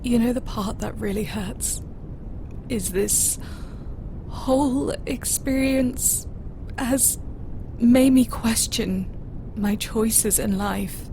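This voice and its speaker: A woman acts a young starship officer in a sci-fi audio drama, hurt and unsure of herself. She speaks slowly and hesitantly, in a subdued way. A clear rumble sits behind the voice. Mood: hurt, searching. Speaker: voice actor.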